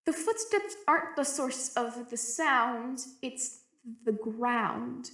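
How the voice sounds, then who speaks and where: Scared lone female researcher, played by a woman, high voice, slow halting delivery with stammers, audio drama log in an echoey room. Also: fairly bright tone, exaggerated voice. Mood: hushed dread. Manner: hesitant, stammering, anxious. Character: frightened, isolated young woman researcher keeping a log. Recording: echoey roomy recording.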